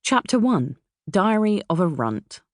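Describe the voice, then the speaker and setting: A middle-aged woman from England with a southern English accent reads a memoir as its author, in a measured, expressive way. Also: mid-range voice, no echo, studio quality. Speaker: author narrating own audiobook. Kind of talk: scripted memoir narration. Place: dry recording studio.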